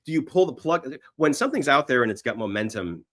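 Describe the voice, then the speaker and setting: A man's voice: medium pitch, very animated, fast talker. A man being interviewed, telling stories casually in a rambling way and acting out imagined bits of dialogue. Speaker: podcast guest, screenwriter.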